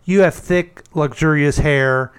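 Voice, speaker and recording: A man's voice: on the high side, slow, clean tone. A man hosting a radio talk show, teasing his co-hosts with would-you-rather questions in playful studio banter. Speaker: radio host.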